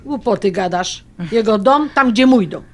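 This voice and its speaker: A woman's voice: fairly high pitch, lively intonation, moderate pace, a little mellow. A woman playing a stubborn, possessive elderly grandmother in an audio drama, blunt, folksy and grumbling. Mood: skeptical.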